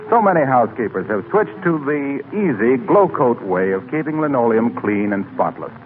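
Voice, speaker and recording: A man's voice: mid-range voice, very animated, moderate pace, a little hiss or hum. An American man working as a 1940s radio announcer, reading in a polished, salesmanlike style. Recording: tinny 1940s radio broadcast recording.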